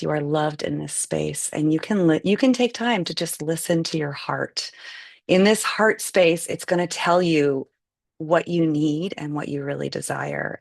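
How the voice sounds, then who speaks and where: A woman with a lowish voice and plenty of vocal fry talks a group through a meditation. Her instructions come slow and gentle, and the sound is dry and close, with no room around it. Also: dry recording. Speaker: meditation guide. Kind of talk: live guided meditation.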